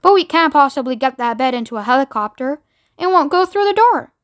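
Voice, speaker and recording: A woman voicing a brisk, exuberant eccentric man in a children's storybook, emphatic and exclamatory. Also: sits high, lively intonation. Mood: matter-of-fact. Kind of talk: children's storybook read aloud.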